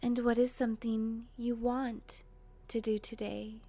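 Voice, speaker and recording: A woman leading a guided meditation in a gentle, breathy voice with long, unhurried pauses. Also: fairly high pitch, little pitch movement. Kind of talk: guided meditation, soothing narration.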